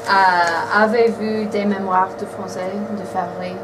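Interviewer: hesitant woman, slow questions, far mic, heavy background noise. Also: medium pitch, flat delivery. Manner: hesitant, many fillers.

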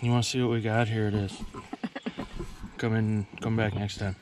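Playful man vlogging in loud outdoor noise. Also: fairly low pitch, level tone, normal speed. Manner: playful, teasing. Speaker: vlog host, auction reseller. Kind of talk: casual chat, joking remarks to companions and camera. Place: outdoors at an estate auction. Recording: noisy outdoor handheld, traffic noise.

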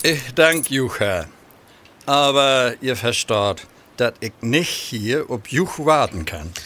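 An actor playing a plain-spoken, wary but polite coachman in an audio drama, with a northern Low German accent. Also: lively intonation, takes it slowly, pushed and bright.

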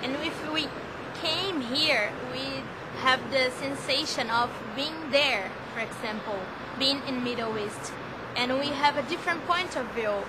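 A woman's voice: high-pitched, a little slow, very noisy. A young woman student from Brazil, answering interview questions outdoors and searching for words as she talks. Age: young adult. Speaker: university student. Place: outdoors by a garden of palms.

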